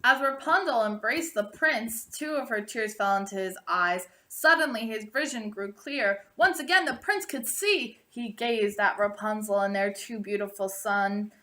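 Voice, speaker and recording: A woman narrating a storybook aloud, expressively and deliberately, drawing out the ends of phrases. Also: medium pitch, bright, forceful tone. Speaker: storybook reader.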